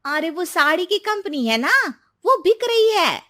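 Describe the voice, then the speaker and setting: A high-pitched neighbourhood auntie from a storytelling drama , played by a woman. She is chatty and concerned, always ready with local news. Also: very animated, unhurried, a bit bright, heavily acted. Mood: gossipy.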